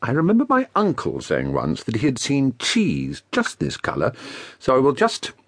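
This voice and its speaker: Husky, swooping, bumbling storybook bear. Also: male voice, mid-range voice, huge pitch swings, moderate pace, fairly bright tone, no room sound, full character voice. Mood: musing, sheepish. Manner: ponderous, musing and hesitant. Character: Pooh, slow, dim, greedy, amiable honey-loving bear. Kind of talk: dramatised children's storybook acting.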